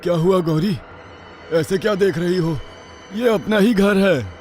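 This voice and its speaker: A man's voice: high-pitched, lively intonation, a little slow, a little mellow, faint music behind, pitched above the performer's own voice. A man voicing a firm, protective, desperate father in a horror cartoon story, with dramatic delivery. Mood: concerned.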